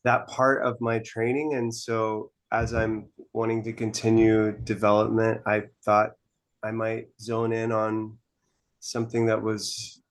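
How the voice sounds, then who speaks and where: Slow, flat-voiced man on a call. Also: lowish voice. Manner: measured, hesitant. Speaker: newly certified coach. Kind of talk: casual introduction on a video call. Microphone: video call.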